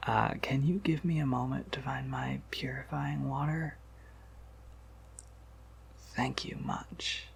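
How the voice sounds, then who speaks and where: Guy doing a soft whispery vampire shopkeeper for asmr, super crackly voice, polite n a bit scattered, takes his time. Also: takes it slowly, pauses often. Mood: flustered, searching. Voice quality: breathy whisper breaking into creaky low murmur. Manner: gentle, considerate, hesitant with small asides. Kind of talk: whispered ASMR roleplay addressed to the listener.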